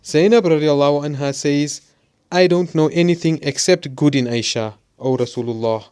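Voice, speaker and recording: A man's voice: fairly high pitch, normal speed, a little mellow, smooth, close to an everyday voice. A man playing an earnest, concerned young student in an audio drama. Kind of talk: scripted audio drama acting.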